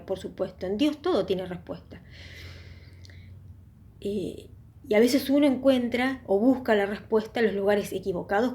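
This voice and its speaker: A woman's voice: mid-range voice, warm tone. A woman hosting her own online channel, talking earnestly and reflectively to her viewers about faith and spiritual experiences. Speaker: YouTube channel host. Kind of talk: casual religious testimony talk.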